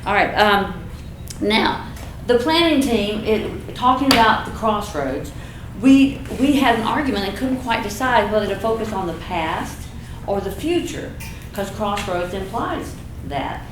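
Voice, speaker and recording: An older woman with a deep, slightly husky voice, a community speaker opening her talk in a playful, enthusiastic way. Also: expressive, a little slow, hardly any pauses. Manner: playful, enthusiastic, self-deprecating. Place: event room with audience. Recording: echoey room, audible noise.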